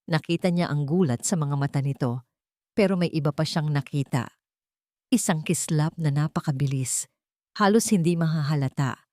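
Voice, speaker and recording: Casting: female audiobook-style narrator, lowish pitch, suspenseful and emphatic delivery, very dry close sound. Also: darkish tone, dry recording. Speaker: storyteller. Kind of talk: dramatic scripted story narration.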